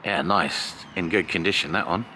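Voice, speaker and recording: A middle-aged man with a British accent, chatting excitedly while walking through town streets outdoors and filming a house-hunting vlog. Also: low-pitched, normal speed, slight background noise. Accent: British English. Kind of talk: casual travel vlog. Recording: music playing underneath.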